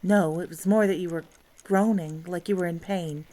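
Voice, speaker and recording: A woman playing a gentle, caring princess and wife in an audio drama, speaking softly and tenderly. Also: lowish voice, steady tone, moderate pace, natural voice. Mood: concerned. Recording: clearly hissy recording.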